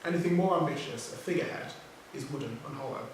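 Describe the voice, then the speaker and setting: A man's voice: a little high, a little hiss or hum. Man reading a talk in a far, echoey hall. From Nigerian heritage, raised outside Nigeria. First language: English. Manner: measured, with small self-corrections. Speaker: panel speaker, poet. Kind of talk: reading a prepared talk at a writers' panel. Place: theatre hall.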